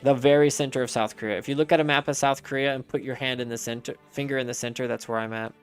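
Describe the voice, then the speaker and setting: A man's voice: medium pitch, fairly even intonation, fast talker, faint music behind. A man streaming a game and chatting casually with his viewers, answering their messages aloud in a rambling way with frequent restarts.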